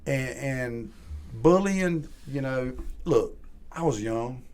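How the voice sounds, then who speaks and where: A man's voice: medium pitch, lively intonation, takes it slowly, darkish tone, slight background noise. A man in his forties from Georgia with a Southern accent, talking casually on a podcast. He rambles and repeats words as he starts each thought. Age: 40s. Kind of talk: casual chat.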